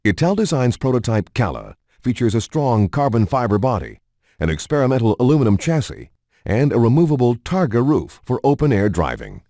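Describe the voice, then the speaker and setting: Guy doing slick promo narration for a car game, animated delivery, close dry sound. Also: medium pitch, brisk, dry recording. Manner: polished announcer delivery. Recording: music behind the voice. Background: background music.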